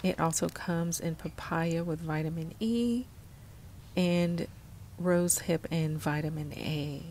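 Low-voiced, slow-paced woman vlogger. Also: fairly even intonation, a little mellow, slight background noise. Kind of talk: casual shop-with-me narration.

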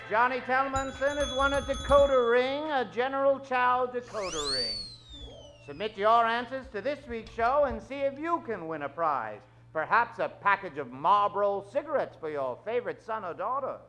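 Male stage emcee, middle-aged, high-pitched, showy and jokey, announcing at an unhurried pace. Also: pushed and bright, slight background noise. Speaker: host of a live radio show. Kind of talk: theatrical live show announcing. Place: theater stage. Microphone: vintage-style stand microphone.

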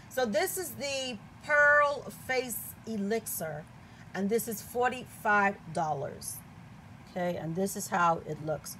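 A woman chatting casually and excitedly as she unboxes products at a table indoors. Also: mid-range voice, a little hiss or hum. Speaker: product reviewer.

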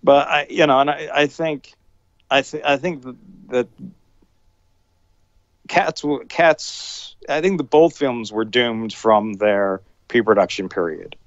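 A man co-hosts a casual film review podcast and talks in halting bursts, often restarting his sentences. The recording is dry and close, with a somewhat dull, lo-fi quality. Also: mid-range voice, no echo. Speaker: podcast co-host.

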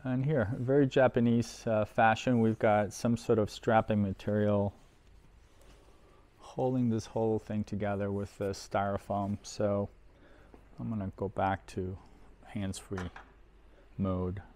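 A middle-aged man giving casual, unhurried unboxing commentary, thinking aloud as he handles things in a workshop. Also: on the low side, level tone, a little mellow. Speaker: host of an audio gear unboxing video. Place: indoor workshop at a workbench.

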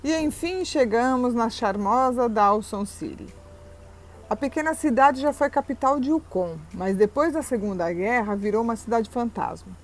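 A woman's voice: on the high side, animated, normal speed, faint music behind. A Brazilian woman with a Brazilian Portuguese accent narrating a travel vlog in a cheerful, easy manner. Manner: cheerful, laughs often while filming.

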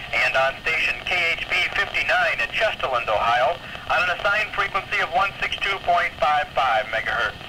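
An American man reading a scripted weather forecast as an announcer on an old weather radio broadcast. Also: on the high side. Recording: noisy 1979 weather radio broadcast recording.

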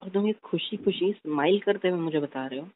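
A man's voice: high-pitched, fairly quick. A young man playing a possessive but placating boyfriend in a conversational couple's phone-call drama. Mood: suspicious. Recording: thin, phone-like call audio.

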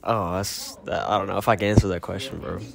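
A man's voice: deep voice, steady tone, fast, creaky. A teenage male interviewee chatting casually in slang, often repeating himself. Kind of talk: casual chat. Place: school classroom.

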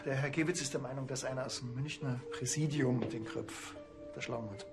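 A blunt male village cop with a Bavarian accent, played by an actor. He talks fast at a medium pitch, sardonic and offhand, with music behind him in a TV crime comedy. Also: steady tone, close to an everyday voice.

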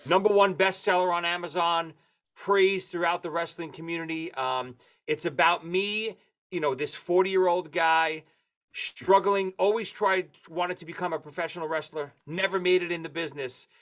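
A man in his forties with a New York accent, telling lively stories as a guest on a video-call interview. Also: on the high side, moderate pace. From Brooklyn, New York. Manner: lively, animated storyteller. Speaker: guest drummer and author. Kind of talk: casual interview chat. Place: home room with lights and posters.

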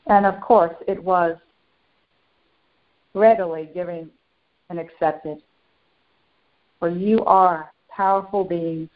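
Slow, halting woman channeling a serene spiritual master. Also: lowish voice, fairly even intonation. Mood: serene, reverent. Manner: slow, halting short phrases with long pauses. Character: serene, benevolent ascended master St. Germain addressing followers. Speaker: channeler and meditation guide. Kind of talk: guided meditation. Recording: dull lo-fi sound.

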